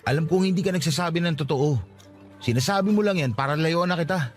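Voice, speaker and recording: Guy acting young working guy in love, fast talking, high voice, begging and insistent, radio drama. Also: soft background music, lightly acted. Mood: hurt, accusing. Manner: pleading, insistent. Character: lovestruck, persistent young working-class man pleading. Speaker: voice actor in a radio-style love story.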